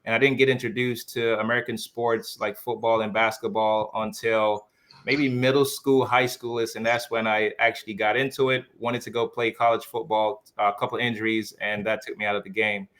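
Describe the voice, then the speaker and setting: A man's voice: lowish voice, little pitch movement, normal speed, a bit bright. A man from Jamaica hosting a podcast, casually encouraging his guest and often saying "man". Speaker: podcast host. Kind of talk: podcast interview.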